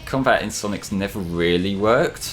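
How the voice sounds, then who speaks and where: A man's voice: lowish voice, moderate pace. A man chatting casually as a co-commentator on a video game, with dry, wry asides. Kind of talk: casual gameplay chat. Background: video game music.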